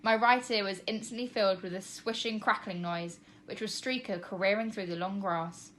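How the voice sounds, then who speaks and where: A woman reads a children's storybook aloud in a mid-pitched voice. Her narration is expressive and emphatic, in the manner of a teacher telling a story to pupils. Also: normal speed, slight background noise.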